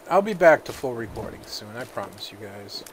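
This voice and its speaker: A man who is feeling a little sick, giving relaxed let's-play commentary and thinking aloud with plenty of fillers. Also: medium pitch, fairly quick, a little hiss or hum. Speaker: gaming video host.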